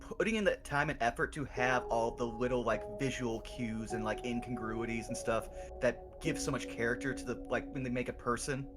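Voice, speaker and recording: A man's voice: medium pitch, moderate pace, few pauses. A man joining a friend's stream as a guest, chatting casually in a laid-back way with lots of fillers. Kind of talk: casual chat. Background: music.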